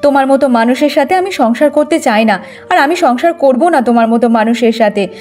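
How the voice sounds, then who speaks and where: A woman's voice: high voice, lively intonation, brisk, a little mellow. A woman voicing a talkative, aggrieved young village wife in a moral-story cartoon, with a plaintive way of talking. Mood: angry defiance.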